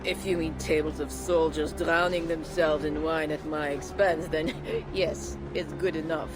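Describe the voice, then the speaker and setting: An actor playing a wry, world-weary tavern keeper in a video game, drawling with amiable sarcasm. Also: male voice, a little high, level tone, unhurried, natural voice, rough recording. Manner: sardonic, drawling. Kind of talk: video game character acting. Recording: music behind the voice.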